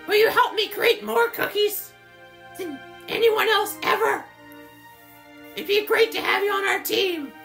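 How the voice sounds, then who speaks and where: A man's voice: extremely high pitch, vocal fry, sharp tone, heavily acted. A man voicing a cocky, scheming young bird racer in an improvised comedic game fan dub, taunting and drawn out like a mock villain. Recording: game music behind.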